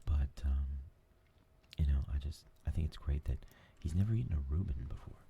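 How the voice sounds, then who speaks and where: A man hosting a new ASMR gaming channel, talking in a soft whisper and rambling with lots of fillers. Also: very deep voice, moderate pace, gravelly, muffled recording, slight background noise.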